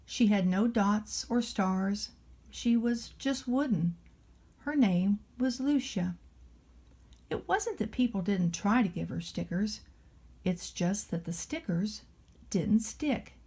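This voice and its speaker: A woman reading a children's storybook aloud as a nanny, in a measured, expressive storytelling manner. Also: medium pitch, husky. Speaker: storybook reader playing a nanny.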